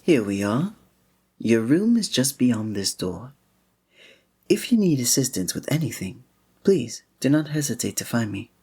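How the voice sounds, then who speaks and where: Man playing a soft, breathy, gallant fantasy innkeeper. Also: medium pitch, very animated, a little slow. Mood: tender, slightly flustered. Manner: formal, old-fashioned, gallant, gentle phrasing. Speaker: ASMR roleplay performer. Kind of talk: scripted romantic ASMR roleplay.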